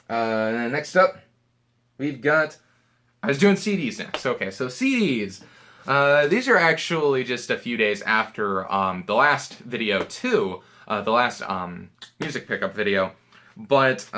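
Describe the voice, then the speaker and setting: A man speaks in a bright, crisp-edged voice at an average male pitch. His delivery is that of a casual home vlogger showing off finds, unscripted and meandering, with halting phrases and frequent self-corrections. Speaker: video creator showing his record and game pickups. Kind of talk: casual, unscripted show-and-tell vlog.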